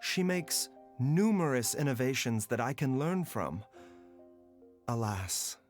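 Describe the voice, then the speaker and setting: A man voicing a calm, composed physician in game dialogue, speaking in a soft, formal, measured way. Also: mid-range voice. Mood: thoughtful. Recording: soft music underneath.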